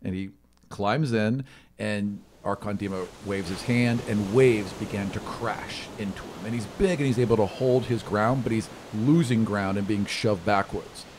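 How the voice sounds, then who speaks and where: A man with a fairly low voice runs a tabletop fantasy game. He improvises the narration as an animated storyteller, slipping into colloquial asides. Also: moderate pace, noisy background. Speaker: game master.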